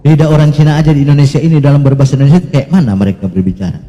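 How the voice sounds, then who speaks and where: A man's voice: medium pitch, rapid-fire, mellow, in a large hall. A man joining a live stream, making short, firm points in a casual talk. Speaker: debate participant on a stream. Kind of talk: casual conversation.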